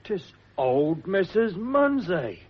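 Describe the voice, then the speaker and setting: Husky English countryman. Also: high voice, very animated, slow, muffled recording, exaggerated voice. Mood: matter-of-fact. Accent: English rural. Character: plain-spoken, deferential English country man. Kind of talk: radio drama acting.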